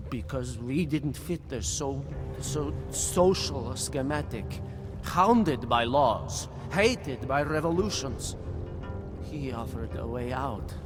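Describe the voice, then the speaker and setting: Lost confused outcast in a game, slow and halting, repeats himself, music behind. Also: male voice, medium pitch. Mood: forlorn. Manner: halting, stammering, repeats words. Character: broken, confused outcast man escaping a cult. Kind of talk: video game dialogue acting.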